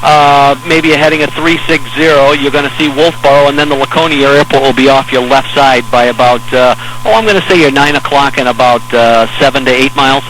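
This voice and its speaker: An American man working as an air traffic controller, giving measured, hesitant, reassuring step-by-step instructions over aviation radio. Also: medium pitch, fairly even intonation, fairly bright tone, soft background music, rough recording. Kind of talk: instructional radio talk to a pilot in an emergency.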